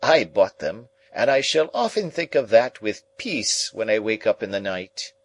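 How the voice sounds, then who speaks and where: Man putting on an indignant society lady, swooping high. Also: big pitch swings, measured pace. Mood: righteous indignation. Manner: exclamatory, emphatic, abrupt. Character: indignant, self-pitying, theatrical middle-aged society lady. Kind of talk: dramatised audiobook reading.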